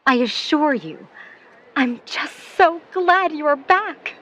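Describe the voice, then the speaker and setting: A woman's voice: high voice, expressive, takes it slowly, fairly bright tone, heavily acted, rough recording. An actress playing a doting mother of a grown son in a period radio drama, tender, genteel and gushing. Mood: tender, joyful.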